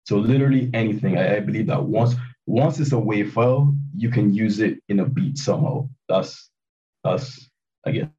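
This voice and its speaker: Man, late twenties, from Barbados, hesitant casual talk, mellow tone, distant dull recording. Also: fairly low pitch, normal speed. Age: 20s. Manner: hesitant, many fillers and restarts. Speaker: guest hip hop producer. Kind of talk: casual interview chat. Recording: dull, distant lo-fi call audio.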